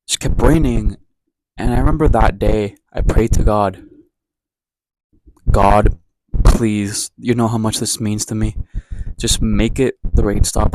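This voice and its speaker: A man's voice: on the low side, noisy background. A young man from Ecuador in a casual daily vlog, talking candidly to the camera in a rambling, self-deprecating way. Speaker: vlogger. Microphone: camera mic, talking to camera.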